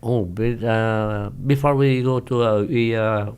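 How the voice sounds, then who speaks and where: A man in his seventies with a Vietnamese accent, a non-native speaker recalling his past in an interview, halting often with many hesitations and loose grammar. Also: mid-range voice, fairly even intonation, a little mellow, clean tone. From northern Vietnam. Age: 70s. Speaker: guest, former pilot. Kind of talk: casual interview recollection.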